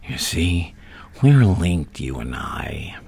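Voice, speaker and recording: A man acting out a menacing, playful mad clown villain in a soft-spoken ASMR roleplay, taunting and intimate, often dropping to a whisper. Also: sits low, big pitch swings, takes it slowly, creaky, breathy edge, full character voice. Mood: gloating, seductive.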